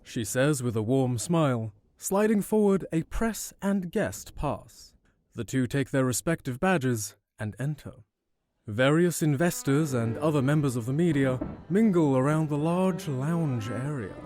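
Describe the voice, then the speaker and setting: A man's voice: medium pitch, lively intonation, a little slow, a little mellow, no room sound. Male narrator over prominent string music. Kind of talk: scripted audio drama narration.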